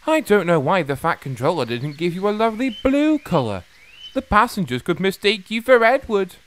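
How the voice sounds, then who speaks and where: Man voicing a teasing, animated steam engine. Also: sits high, normal speed. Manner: taunting. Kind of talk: children's storybook character voice.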